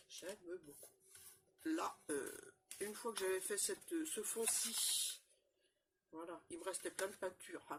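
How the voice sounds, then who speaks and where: A woman with a cold, chatting casually through a crafting demonstration, rambling and filling pauses often. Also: mid-range voice, fairly bright tone, slight background noise. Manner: chatty, rambling, constant fillers and "voilà". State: has a cold, stuffy. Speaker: crafting channel host. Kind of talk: casual show-and-tell crafting demo.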